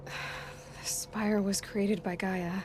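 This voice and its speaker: An actress playing a determined young huntress in a video game cutscene, earnest, wary and terse. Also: female voice, medium pitch, fairly even intonation, drawn-out words. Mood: intent, reasoning. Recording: faint background ambience noise.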